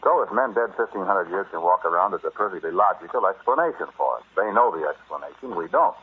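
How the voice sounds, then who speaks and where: A man's voice: deep voice, expressive, quick pace, husky. An actor in an old-time radio drama playing a flippant, stubborn explorer husband who hides his fear, telling his tale in a wry, conversational way. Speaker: narrator and lead actor. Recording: muffled, noisy vintage radio recording.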